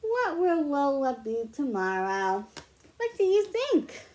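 A middle-aged woman reading picture books aloud to young children, explaining slowly and clearly at home. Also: high voice, very animated, darkish tone. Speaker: children's librarian. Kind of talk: storytime read-aloud for kids.

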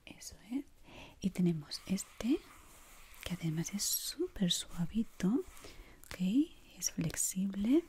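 A woman with an accent from Spain whispers gently and soothingly in a breathy voice, playing an ASMR shop assistant up close to the mic. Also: mid-range voice, very animated, takes it slowly. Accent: Spanish from Spain. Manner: soft, gentle, soothing, many diminutives. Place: small indoor room. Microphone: close microphone. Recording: close mic with clear background noise.

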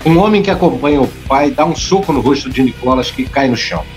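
A man's voice: mid-range voice, lively intonation, quick pace, soft background music. A man reading scripted narration for an audio drama, describing scenes as narrator.

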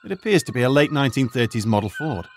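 A man's voice: mid-range voice, lively intonation, soft background music, lightly acted. A man playing a lecturing old archaeologist teacher. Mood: measured. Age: older. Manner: measured, explanatory, professorial. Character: retired archaeologist turned high school teacher, lecturing and cautious. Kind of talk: audio drama acting.

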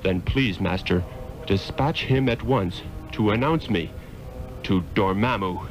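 An actor playing an earnest, resolute sorcerer hero in a 1960s radio drama, with formal, declamatory delivery. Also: male voice, on the low side, unhurried, faint music behind. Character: earnest, resolute adult male sorcerer hero, devoted pupil.

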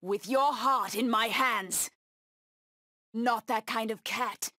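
A woman's voice: a little high, slow, bright, forceful tone, no room sound, studio quality, full character voice. An actress voicing a proud, bloodthirsty cat-woman huntress villain in a video game, with menacing, taunting delivery.

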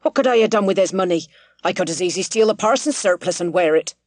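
Lady doing a grumpy village drinker guy, lowish, defensive, touchy, old novel audiobook. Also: a little fast, warm tone, no echo, lightly acted. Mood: indignant, defensive. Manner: grudging, defensive. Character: sullen, touchy male village pub drinker.

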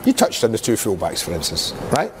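A man's voice: fairly low pitch, fairly quick, slight background noise. A man, a football pundit in a TV studio, chipping in and arguing his points. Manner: animated, interjecting, argumentative. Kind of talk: studio match analysis.

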